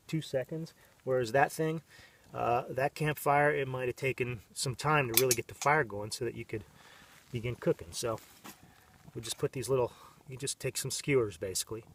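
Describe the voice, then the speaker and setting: A man's voice: mid-range voice, recorded from a distance, a little hiss or hum. A man in his thirties giving a casual, rambling how-to demonstration outdoors in the desert, with many fillers and restarts. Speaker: vlogger. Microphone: camera mic, on-camera and off-frame.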